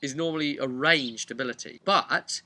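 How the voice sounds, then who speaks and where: A man giving an informal, animated, chatty explanation of tabletop roleplaying rules. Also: mid-range voice, moderate pace. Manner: animated, chatty, acts out imagined player remarks. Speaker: tabletop game master giving advice.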